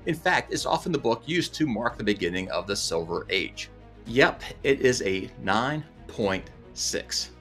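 A man's voice: on the low side, big pitch swings, measured pace, fairly bright tone, faint music behind. A man in his forties hosting a comic book collecting video, talking through scripted commentary with enthusiastic, playful asides. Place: indoor room with chandelier.

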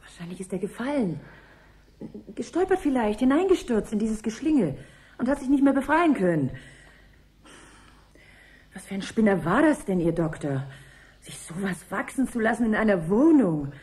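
A woman's voice: medium pitch, very animated, normal speed, pauses often, mellow, close to an everyday voice. An actress in a German radio play playing a brusque, uneasy woman with a dry, mocking way of talking. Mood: scornful. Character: brusque, uneasy, sharp-tongued woman exploring a flat. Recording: 1980s radio drama, faint noise.

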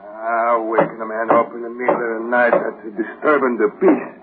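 An actor playing a grumpy innkeeper roused from sleep, grumbling in singsong broken English in an old-time radio drama. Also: male voice, mid-range voice, little pitch movement, normal speed, very noisy, close to an everyday voice. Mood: irritated. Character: grumpy male lodging keeper roused from sleep. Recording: muffled old radio recording.

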